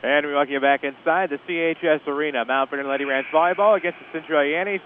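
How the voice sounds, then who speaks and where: A man's voice: a little high, fast. A man working as a play-by-play announcer, giving live pregame commentary on local radio from a school arena over a phone-like line. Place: indoor school sports arena. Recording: phone-like line, steady venue noise.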